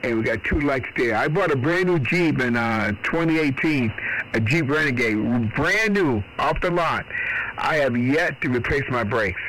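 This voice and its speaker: A man's voice: mid-range voice, expressive, moderate pace, fairly bright tone, low-quality recording. A middle-aged man, chatting on amateur radio in a folksy, rambling, mildly salty way. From Boston. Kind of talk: casual radio chat.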